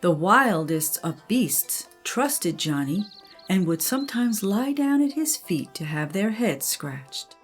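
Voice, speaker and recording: A woman's voice: mid-range voice, sing-song, slow pace, faint music behind. An older woman, a grandmother, narrating a children's story.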